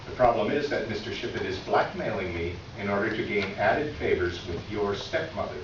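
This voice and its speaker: A man's voice: on the low side, a little fast, lightly acted. A man acts out a wronged older male clerk close to retirement in a readers theater piece. He confesses by letter in formal, wordy, earnest sentences. The recording is very reverberant and a bit muffled, with light noise. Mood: earnest, aggrieved. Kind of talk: staged readers theater, reading a letter aloud. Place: live reading in a reverberant room. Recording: echoey room from far off, dull, noisy.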